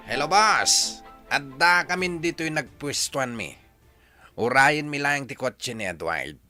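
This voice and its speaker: Eager henchman, drawn-out delivery. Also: medium pitch, lively intonation, pushed and bright, soft background music, full character voice. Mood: obedient. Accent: Ilocano. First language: Ilocano. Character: eager, obedient goofy henchman reporting to his boss. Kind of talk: radio drama acting.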